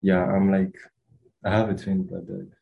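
A man's voice: deep voice, monotone, moderate pace, gravelly, dull audio. A young man from Rwanda, a student answering interview questions in a hesitant, casual way with many fillers and restarts. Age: young adult.

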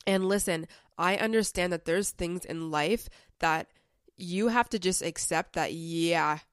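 A woman's voice: fairly low pitch, a little slow, clear, resonant tone, no echo, clean studio sound. A young woman hosting a casual self-help podcast, talking in a chatty, conversational way with lots of filler words while a little sick, her voice slightly off. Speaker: podcast host.

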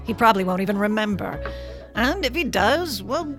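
Actress as practical lady, polite, reassuring, medium pitch, radio drama, faint music. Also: lively intonation, moderate pace, natural voice. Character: practical, proper lady soothing her companion.

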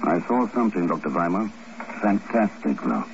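An actor playing a cool-headed, authoritative secret agent in a 1950s radio drama, calm, dry and clipped. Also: male voice, sits low, normal speed, gravelly. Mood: cool, composed. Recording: muffled, noisy old 1950s radio recording.

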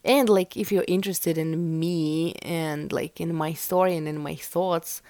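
A woman's voice: low-pitched, steady tone, unhurried, a little mellow, no room sound. A woman, her language, hosting a casual solo podcast in a chatty, rambling way full of fillers. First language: Russian. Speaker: podcast host.

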